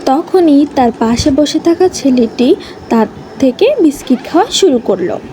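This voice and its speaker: A woman with a high, soft-toned voice narrates a children's story. She reads from a script as a storyteller addressing young listeners. There is some light noise behind her. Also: animated, unhurried.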